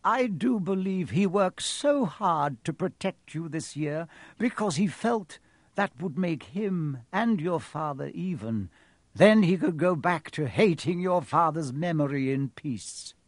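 A man voicing an elderly wizard headmaster in a storybook, dreamy, whimsical and gently pleased with himself, musing without hurry. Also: a little high, expressive, measured pace, no room sound, pitched above the performer's own voice. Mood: dreamy, amused. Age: older.

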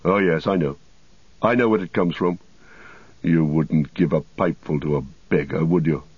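A man voicing a sneering fallen old wizard reduced to beggary, in a cracked, husky voice with mocking archaic phrasing, as in an audiobook. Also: a bass voice, normal speed, no echo, narrow, tinny audio. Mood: sneering mockery.

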